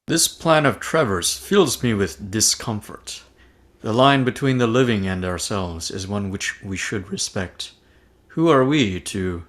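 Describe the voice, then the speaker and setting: A man's voice: on the low side, animated, a little slow. A man playing a mild, principled, squeamish ghost in a sitcom table read. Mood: earnest.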